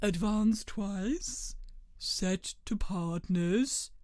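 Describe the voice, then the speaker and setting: A woman voicing a melodramatic, sentimental old turtle creature from a storybook in a slow, drawn-out, swooping delivery. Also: mid-range voice, a little mellow, no room sound, clean studio sound. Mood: excited. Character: melodramatic, sentimental old Mock Turtle, excitable then mournful.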